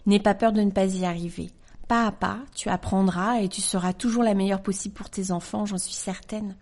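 A woman in her forties reads calmly and intimately for a podcast. Her voice sits at an ordinary middle pitch and stays soft and measured, like a scripted reading of letters. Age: 40s. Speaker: podcast host.

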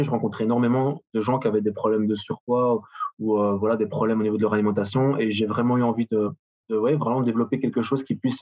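Belgian-accented man, late thirties, husky and a bit muffled, halting podcast guest. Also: fairly low pitch, darkish tone. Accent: Belgian French. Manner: hesitant, many fillers and restarts. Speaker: guest, personal trainer and gym owner. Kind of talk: casual interview answers.

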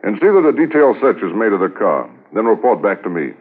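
An actor playing an authoritative senior police inspector in a 1950s radio drama, measured and commanding in manner. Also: male voice, fairly low pitch, sing-song. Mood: deliberate, commanding. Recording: muffled 1950s radio transcription recording.

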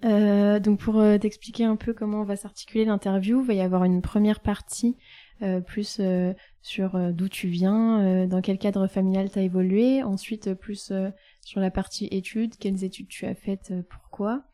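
Woman hosting a podcast, dark voice. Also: medium pitch, steady tone, moderate pace, dry recording. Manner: hesitant, many fillers and restarts. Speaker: podcast host. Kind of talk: casual interview.

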